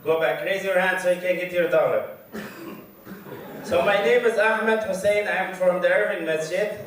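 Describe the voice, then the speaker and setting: A man from Egypt with an Egyptian Arabic accent, giving a lecture at a community event, chatty with the crowd and taking care to speak slowly. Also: sits high, a bit bright, a little hiss or hum. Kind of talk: mosque lecture to an audience. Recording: very echoey hall, voice heard from far.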